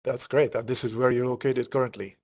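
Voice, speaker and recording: A man hosting a conversational online interview, pausing often in the middle of his questions. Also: medium pitch, level tone, a little mellow. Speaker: interview host.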